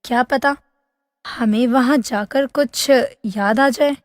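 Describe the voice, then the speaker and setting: High-voiced, slow-speaking lovesick young princess. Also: female voice, clean studio sound. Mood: wistful, troubled. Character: lovesick, wistful young princess in a fairy tale. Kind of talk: animated fairy-tale voice acting. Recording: long hall-like reverb.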